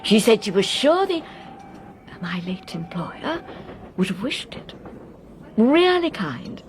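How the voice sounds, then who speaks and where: Kindly English governess, very animated intonation, fluttery, genteel, plucky, radio play, train rumbling behind. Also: female voice, slow. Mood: chatty, confiding. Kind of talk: radio drama acting. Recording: clear train and vehicle noise behind. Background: train ambience.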